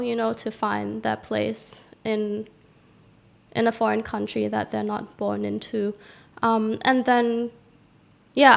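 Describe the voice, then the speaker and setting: Thai-australian accented young woman, heavy vocal fry, reflective chat full of likes and ums. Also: fairly high pitch. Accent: mixed Thai and Australian. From Thailand. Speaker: guest, tattoo artist and teacher. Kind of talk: casual interview chat.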